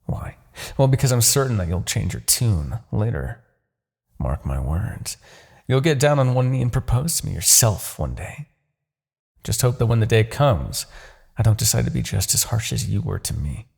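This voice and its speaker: A man playing a smug, commanding Demon King in a fantasy ASMR roleplay, taunting and possessive, with theatrical, deliberate phrasing. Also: on the low side, very animated, gravelly, darkish tone, breathy edge, exaggerated voice. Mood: smug, confident.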